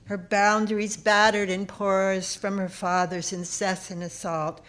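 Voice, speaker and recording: A woman reading a literary personal essay aloud, with deliberate, dramatic phrasing and pauses. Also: on the low side, fairly bright tone. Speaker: essayist reading own work.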